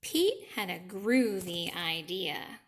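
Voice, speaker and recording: A woman teacher reading a picture book to young children, deliberate and explanatory, pausing to ask questions. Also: on the low side, sing-song. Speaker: elementary school teacher. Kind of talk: read-aloud lesson for young children.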